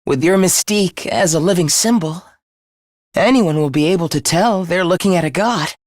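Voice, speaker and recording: A man's voice: high-pitched, moderate pace, a little mellow, no echo, clean studio sound, close to an everyday voice. An actor voicing an obsessive young man in a video game, devoted to his comrade as to a god, with theatrical, intense, florid delivery. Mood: reassuring, reverent. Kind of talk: English video game voice acting, dramatic monologue.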